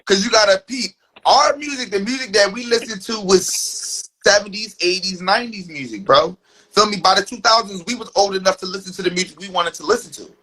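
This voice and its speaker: A man's voice: high-pitched, a little fast, pushed and bright, a little hiss or hum, poor audio. A man in his early thirties in a casual podcast chat, emphatic and peppering his talk with "bro" and "feel me". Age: 30s.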